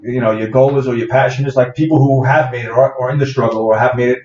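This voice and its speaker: A quick-talking man with a thick Bronx accent, rambling through a casual podcast chat with plenty of fillers and restarts. The audio is dull and roomy, with a faint background hum. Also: mid-range voice, fairly even intonation, a little mellow. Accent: Bronx New York. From the Bronx, New York. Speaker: guest comedian.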